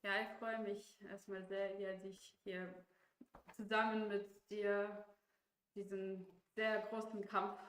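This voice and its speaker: A woman's voice: medium pitch, level tone, slow pace, fairly bright tone. Woman, hesitant, in an echoey room. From Ukraine. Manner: hesitant, many fillers and restarts, laughs often. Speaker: guest co-commentator. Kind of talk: casual chat on a chess stream.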